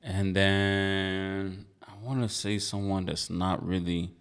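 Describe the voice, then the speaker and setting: Low-voiced laid-back man from Nigeria. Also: little pitch movement, slow, smooth. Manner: laid-back, reflective, slangy. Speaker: guest artist. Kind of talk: casual interview chat.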